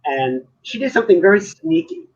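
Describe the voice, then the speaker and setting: A New man chats and tells stories on a live video call, his voice husky and slightly breathy. The audio is a little dull and muffled. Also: sits high, sing-song, fairly quick. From Manhattan. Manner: chatty storyteller, laughs often. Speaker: artist. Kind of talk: casual live-stream chat.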